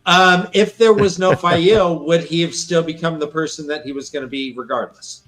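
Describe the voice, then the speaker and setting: A man's voice: a little high, steady tone, normal speed, a bit bright, poor audio. A man in a casual fan discussion, speaking in a teasing, playful way.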